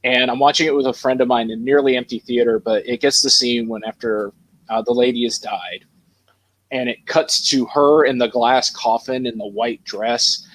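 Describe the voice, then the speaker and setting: A man's voice: medium pitch, fairly even intonation, moderate pace, a bit bright, rough recording. A man chatting casually about films as a panelist, in an informal conversational style.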